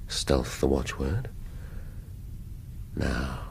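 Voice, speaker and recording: Middle-aged English police detective, radio drama, brooding, musing, creaky voice, faint background noise. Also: male voice, a bass voice, animated, drawn-out words, heavily acted. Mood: musing, muttering. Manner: musing, clipped half-sentences, muttering to himself.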